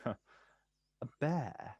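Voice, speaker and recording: An actor playing a guarded but kind poet in a stage play, questioning and prone to stammer when unsure. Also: male voice, very slow, a little mellow, no room sound, lo-fi sound. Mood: amused, gentle. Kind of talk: stage play acting, quiet two-hander.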